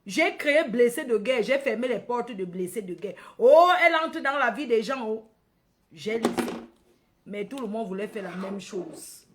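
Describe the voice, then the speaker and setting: A woman's voice: a little high, lively intonation, moderate pace, background noise. A woman from Cameroon with a Cameroonian accent hosting a casual livestream, addressing followers by name, repeating phrases and tagging on questions. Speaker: livestream host.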